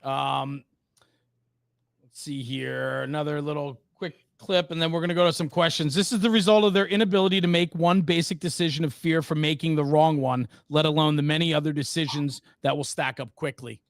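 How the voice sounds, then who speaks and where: Male podcast host, high voice for a man, close dry sound, teasing and direct, informal live banter. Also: fairly even intonation, brisk, gravelly, no room sound. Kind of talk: casual live show banter.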